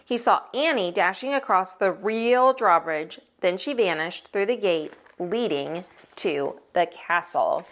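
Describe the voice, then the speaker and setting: A woman narrating a children's book as a teacher would, with expressive, dramatic storytelling. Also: lowish voice, measured pace. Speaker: host, teacher reading aloud.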